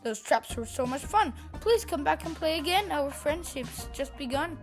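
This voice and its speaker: A woman voicing a friendly, playful male yeti in a children's storybook, speaking softly. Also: high voice, expressive, normal speed, over music.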